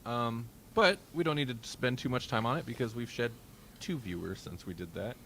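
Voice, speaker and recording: A man's voice: mid-range voice, moderate pace, creaky, fairly bright tone, a little hiss or hum. A man hosting a casual live-stream podcast, rambling along in a joking, self-deprecating way. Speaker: podcast host.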